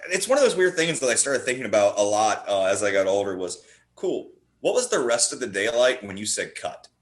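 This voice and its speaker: Low-voiced man in an echoey room. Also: big pitch swings, a little fast. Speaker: guest, horror playwright. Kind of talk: casual podcast conversation. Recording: roomy, echoey sound.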